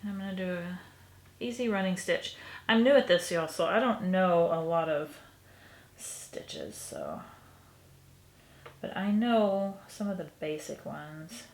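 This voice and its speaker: A sniffly woman with a low, gravelly voice muses softly as she works, her words often drifting down to a half-whisper. The sound is echoey and roomy. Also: a little slow, pauses often. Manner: soft, musing, often trailing into a half-whisper. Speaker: crafter showing a stitching project. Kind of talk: casual crafting tutorial. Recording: echoey room recording.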